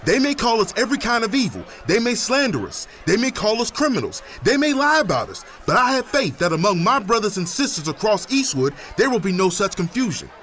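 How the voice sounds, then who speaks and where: Impassioned, animated male protest leader. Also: high-pitched. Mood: defiant. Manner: deliberate, rhetorical, impassioned. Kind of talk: impassioned political speech in an interview.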